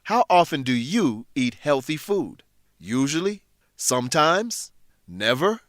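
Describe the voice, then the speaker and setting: A man narrating a scripted English lesson in a deliberate, instructive way. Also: on the high side, sing-song, fairly bright tone, no room sound, clean studio sound.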